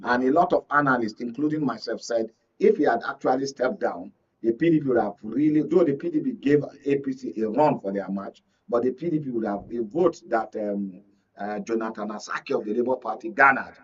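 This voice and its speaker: Husky Nigerian man, emphatic political analyst. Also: mid-range voice, brisk. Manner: emphatic, repeats points, checks listener follows. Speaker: media analyst and guest. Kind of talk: political analysis in a studio discussion. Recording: muffled, distant mic, lo-fi sound.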